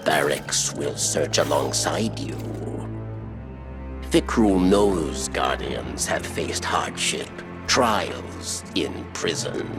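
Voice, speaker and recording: An actor playing a wary, cryptic old alien scribe in a video game, gravelly and halting, with clipped, deferential phrasing. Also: male voice, very deep voice, exaggerated voice. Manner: halting, clipped, refers to himself in third person. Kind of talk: video game character dialogue. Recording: loud music behind. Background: game music.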